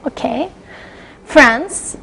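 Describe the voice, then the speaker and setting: A woman's voice: high voice, drawn-out words, vocal fry, pushed and bright, slightly rough, rough recording. A woman assisting in a classroom role-play, giving brief, plain replies.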